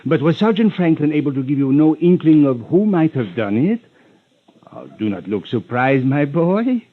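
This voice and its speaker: An actor playing a rich, unctuous villain in an old radio drama, florid and over-polite with hesitant stammers and veiled menace. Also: male voice, on the high side, very animated, moderate pace, dry recording. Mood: oily, amused. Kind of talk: 1940s-50s radio drama acting. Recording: muffled old radio recording.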